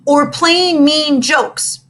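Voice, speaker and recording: A woman's voice: high voice, steady tone, extremely slow, fairly bright tone, pitched above the performer's own voice, exaggerated voice. A woman reading aloud, voicing a stern but caring male schoolteacher who lectures formally. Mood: lecturing.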